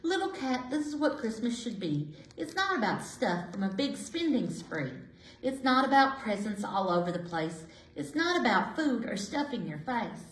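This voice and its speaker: A kindly old lady of a storybook, played by a woman reader, gentle and reassuring as she reminisces in rhyme. Her voice is on the high side and very lively in pitch, in an echoey room. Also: normal speed, slight background noise. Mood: gentle, content. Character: kindly elderly woman, lonely yet content, comforting a cat. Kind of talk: rhyming picture-book storytelling. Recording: roomy, echoey indoor sound.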